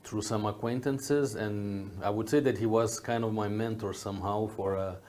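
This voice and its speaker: Low-voiced man, halting in thought. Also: fairly even intonation, darkish tone. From Brazil, grew up in Rio. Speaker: interview guest, hotel executive. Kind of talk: conversational interview answers.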